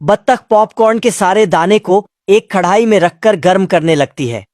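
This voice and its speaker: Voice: medium pitch, moderate pace, darkish tone. Slangy cartoon recap narrator, slightly noisy recording. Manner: colloquial, slangy storytelling.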